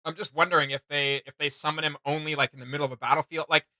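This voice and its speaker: Bright, forceful man chatting casually. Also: on the high side, steady tone, racing, no room sound, studio quality. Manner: rambling, enthusiastic, playful sound words. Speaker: reaction video host. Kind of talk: casual fan chat.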